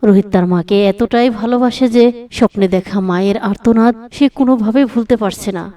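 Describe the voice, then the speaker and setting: A woman's voice: a little high, fast talker, warm tone. A woman narrating a scripted moral story for a cartoon as its storyteller.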